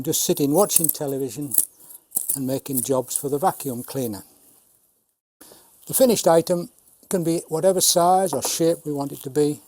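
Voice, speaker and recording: A man in his seventies, a puppeteer, explaining and showing his craft in a measured way with dry humour. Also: medium pitch, dark tone, no echo. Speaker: puppeteer, Punch and Judy showman. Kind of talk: informal talk demonstrating puppets.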